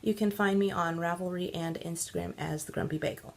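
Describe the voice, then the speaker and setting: Woman host, nasal from congestion, lowish gravelly voice, casual and meandering. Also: fairly even intonation, a little fast. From Central California. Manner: chatty and rambling, many ums. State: stuffy, congested. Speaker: podcast host. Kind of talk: casual knitting video podcast chat.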